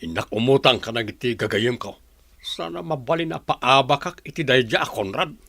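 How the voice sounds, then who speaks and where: A man's voice: medium pitch, expressive, normal speed, creaky, a bit bright, no echo. A man playing a grumbling, envious member of a struggling farm family in a radio drama.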